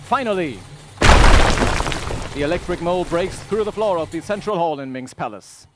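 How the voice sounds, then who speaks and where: A man narrates an old-style radio serial in a declamatory, scripted manner. His voice is high for a man, over clearly noisy background ambience. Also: lively intonation, normal speed.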